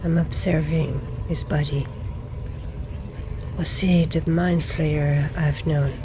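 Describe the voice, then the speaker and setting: A woman playing a game in character, giving deliberate, thoughtful role-play commentary. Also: sits low, fairly even intonation. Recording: clearly noisy background.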